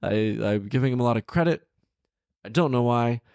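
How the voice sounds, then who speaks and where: A man's voice: mid-range voice, moderate pace, darkish tone, dry recording, clean studio sound. A man hosting a storytelling show, reading aloud and breaking into informal, sarcastic commentary. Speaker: storytelling podcast host.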